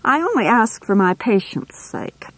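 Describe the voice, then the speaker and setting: A woman's voice: mid-range voice, big pitch swings, normal speed, breathy edge, no room sound, voice pushed up, low-quality recording. A woman reading aloud, voicing a bossy, falsely polite middle-aged nurse who scolds and condescends in a sing-song way. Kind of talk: audiobook reading.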